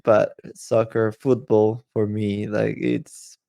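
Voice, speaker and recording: Male podcast co-host, low creaky voice, dry sound, casual halting delivery. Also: level tone, warm tone, dry recording. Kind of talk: casual chat.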